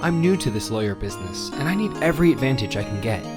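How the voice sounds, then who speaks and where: An earnest, dry-witted young male lawyer , voiced by a woman, speaks low with lively intonation. It is game dialogue with clear music behind it. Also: quick pace. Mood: earnest, probing. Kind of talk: voiced dialogue for a video game fan dub.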